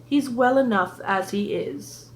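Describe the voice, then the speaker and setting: A woman's voice: on the high side, takes it slowly, a little hiss or hum, poor audio. A woman reading a storybook part as a prim, imperious grandmother with a British accent and a formal, authoritative delivery. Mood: cold displeasure. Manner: authoritative, clipped, menacing formality. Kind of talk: audiobook character reading.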